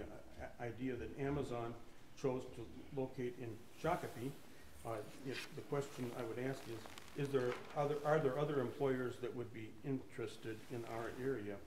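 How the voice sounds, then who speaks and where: A hoarse man with a cold, speaking haltingly at a city council meeting with many fillers and restarts. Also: mid-range voice, darkish tone. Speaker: council appointee applicant. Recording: echoey council chamber with noise.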